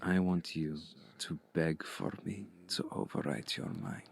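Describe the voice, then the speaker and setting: A man playing a seductive, domineering vampire hypnotist in an intimate ASMR roleplay, speaking in a hushed, deliberate, breathy voice that often slips toward a whisper. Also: animated, full character voice. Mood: commanding, hungry. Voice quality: low, breathy, gravelly, often slipping into whisper. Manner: deliberate, commanding, coaxing with long pauses.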